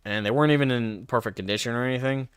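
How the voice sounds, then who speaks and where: A man's voice: mid-range voice, rapid-fire, clear, resonant tone, dry recording, studio quality, soft background music. A man streaming casually, reading card text aloud and drifting into rambling personal stories. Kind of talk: casual live stream chat.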